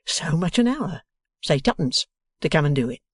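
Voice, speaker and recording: Brief: a hearty, excitable old working man with a high, loud, very lively voice and broad, word-mangling speech, put on by a reader. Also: moderate pace, breathy edge, no room sound, studio quality. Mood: eager. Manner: broad, exclaiming, mangles words. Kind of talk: audiobook character reading.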